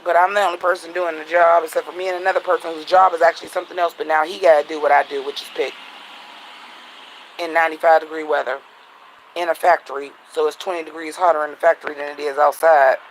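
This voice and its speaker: A man vlogging at home at night, venting bluntly and profanely in a casual monologue, his voice a little raspy. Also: a little high, flat delivery, quick pace, slight background noise, rough recording.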